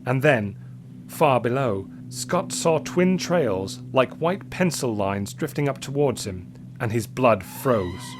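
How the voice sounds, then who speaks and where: A man narrates an adventure story in a mid-range voice. His delivery is dramatic, deliberate and full of suspense. Music plays clearly behind the reading. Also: mid-range voice, animated.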